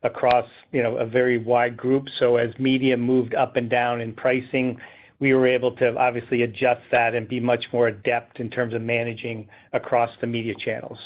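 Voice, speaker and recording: Male executive, explanatory and halting, even melody, slightly muffled conference line. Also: mid-range voice. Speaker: company executive. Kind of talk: unscripted business answer on a call. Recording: slightly dull conference call audio.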